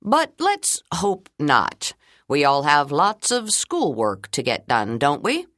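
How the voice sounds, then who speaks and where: A friendly, good-humored school principal giving the morning announcements, slow and measured, in a low voice that swings widely in pitch, as a woman reads him. The sound is close and dry, with no room around it. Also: huge pitch swings, a bit bright, no echo, exaggerated voice. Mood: announcing. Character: kind, funny male school principal on intercom.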